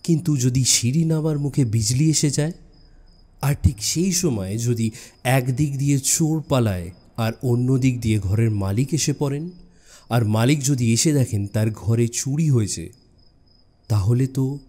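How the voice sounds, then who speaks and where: A man narrating a suspense story in a measured, dramatic way with deliberate pauses. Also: mid-range voice, dark tone, slightly rough. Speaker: audiobook narrator. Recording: music bed behind the voice. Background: background music.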